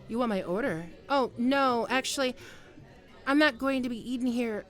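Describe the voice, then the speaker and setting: A woman playing a flustered, wistful but proud writer facing a former lover in an intimate audio roleplay, halting and sighing as she speaks. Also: a little high, fairly bright tone, close to an everyday voice. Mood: hesitant, nostalgic. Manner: hesitant, halting, with sighs and trailing off. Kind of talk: intimate ASMR roleplay acting.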